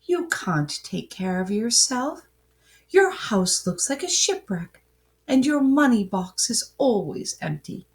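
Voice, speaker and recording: A woman's voice: a little high, sing-song, slow, voice pushed up, full character voice. A woman as a persnickety, scolding sister.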